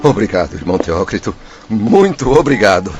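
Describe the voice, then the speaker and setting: Radio drama role: restless, anguished male spirit, emotional, lowish and very animated, over light music. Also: moderate pace. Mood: eager, impatient. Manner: emotional, exclamatory. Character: anguished, impatient, vain male spirit of a suicide.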